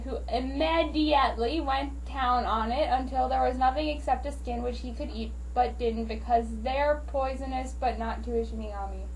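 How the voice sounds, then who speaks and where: A woman's voice: high voice, a little mellow, far from the mic, a little hiss or hum, rough recording. A woman giving a dramatic reading of a story as an amateur narrator. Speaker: amateur reader of fan fiction.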